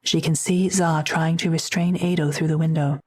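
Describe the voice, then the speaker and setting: Low-voiced woman narrating a drama. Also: steady tone, moderate pace, darkish tone, slight background noise. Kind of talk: scripted audio drama narration.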